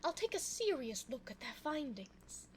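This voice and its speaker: A woman playing a sly, evil, seductive immortal vampire in a close-miked ASMR audio roleplay, theatrical and coy, slipping into breathy asides. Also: high-pitched, big pitch swings, a little slow. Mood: coy, evasive. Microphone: close binaural 3Dio microphone. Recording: faint background noise, close binaural mic.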